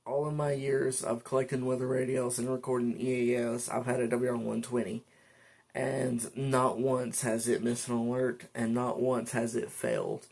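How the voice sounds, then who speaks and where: Male vlogger, creaky mid-pitched voice, informal and halting, talking through features one step at a time. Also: darkish tone. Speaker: vlogger reviewing a weather radio. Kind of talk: casual product demonstration, unscripted. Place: indoors at a desk.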